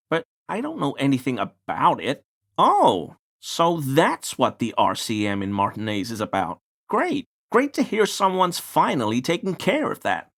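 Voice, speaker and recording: Game role: anxious, toadying little bigot of a deliveryman, very animated intonation, slippery and apologetic manner. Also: fairly high pitch, heavily acted. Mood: flustered, defensive. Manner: obsequious, stammering, evasive. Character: nervous, ingratiating male pie deliveryman with bigoted suspicions. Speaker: video game voice actor. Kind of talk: scripted game dialogue.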